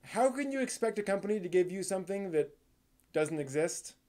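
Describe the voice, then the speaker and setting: A man's voice: sits high, animated, a little fast, mellow. A man hosting an informal live stream, talking in a casual, rambling way and at times reading aloud. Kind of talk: casual live stream talk about anime with theatrical read-aloud segments.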